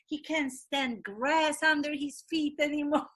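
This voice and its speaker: A woman hosting an interview, chatting in a gossipy way as an animated storyteller who acts out what others said. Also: high-pitched, a little slow, a little hiss or hum. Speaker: interview host.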